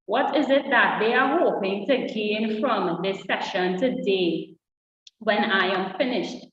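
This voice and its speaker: A woman's voice: mid-range voice, slow, a bit bright. A woman leads a public speaking workshop and puts questions to her listeners. She sounds far from the mic, with a long, roomy reverb around her voice. The sound is dull and lo-fi, with a faint hiss underneath. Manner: engages the audience with direct questions. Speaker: workshop co-presenter. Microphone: online session audio. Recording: dull distant echoey hall sound.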